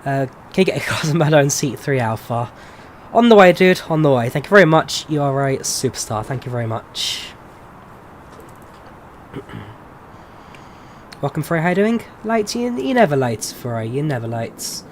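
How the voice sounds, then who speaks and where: A young Englishman in his twenties with a slightly higher voice, talking to his live chat in a loose, easygoing way. He says um and uh often, and a faint hum sits under his voice. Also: normal speed, pauses often. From England. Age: 20s. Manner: relaxed, chatty, answers chat questions with frequent ums. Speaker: flight sim streamer. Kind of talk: casual live-stream chat with viewers.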